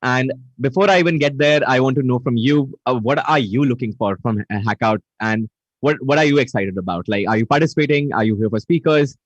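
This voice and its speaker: A man's voice: mid-range voice, brisk, no echo. A man hosting a live online event over a video call, greeting viewers and reading their chat messages aloud. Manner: energetic, repeats greetings, reads chat aloud. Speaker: event host. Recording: dull, dry video call audio.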